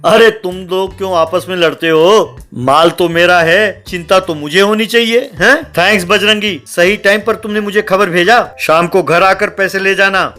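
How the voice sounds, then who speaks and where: Cartoon villain male narrator acting: crooked ex-minister, bossy and scheming, bright-toned, music underneath. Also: sits high, normal speed, few pauses. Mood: smug.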